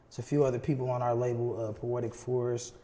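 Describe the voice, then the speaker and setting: A man's voice: medium pitch, flat delivery, fairly quick, warm tone, a little hiss or hum. A man in his thirties from Indiana chatting casually as a guest, with loose, slangy phrasing. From South Bend, Indiana, United States. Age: 30s. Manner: slangy, loose, frequent "you know". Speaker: podcast guest, rapper and music producer. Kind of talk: casual chat. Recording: reverberant room.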